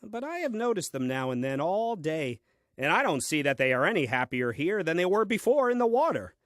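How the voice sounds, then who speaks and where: A man's voice: fairly high pitch, sing-song, brisk, a bit bright, dry recording. An actor playing a grumbling, self-important first man reading his diary in a comic audio play, with dry, fussy delivery. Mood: exasperated.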